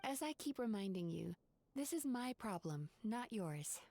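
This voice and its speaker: A calm, composed spirit therapist. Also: female voice, medium pitch, no echo. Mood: measured. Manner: calm, measured, sighing, reserved. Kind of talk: game voice acting.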